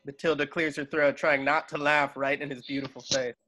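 A man's voice: fairly high pitch, little pitch movement, fairly quick, bright, forceful tone. A man narrating screenplay stage directions expressively in a table read over a video call. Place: indoors at home on a video call. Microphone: video call microphone.

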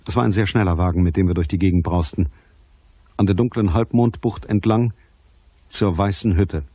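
Dry, businesslike private detective. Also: male voice, low voice, normal speed, mellow, slightly rough. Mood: sober narrating. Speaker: lead actor and narrator of a crime radio play. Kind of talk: German crime radio play acting and narration.

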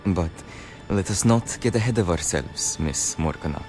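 Grave, low-voiced male wizard. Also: expressive, a little mellow, faint music behind. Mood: solemn. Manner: formal, deliberate. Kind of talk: dramatic game cutscene dialogue.

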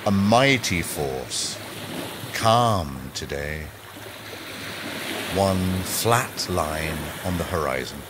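A man with a low voice reads spoken-word poetry extremely slowly, leaving each word or short phrase to stand alone. His intonation moves up and down freely. Loud ocean surf runs behind him. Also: lively intonation, noisy background. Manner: measured, spaced-out single words and short phrases. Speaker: narrator, poetry reader. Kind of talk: slow spoken-word poetry reading over a soundscape. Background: sea surf and lapping water soundscape.

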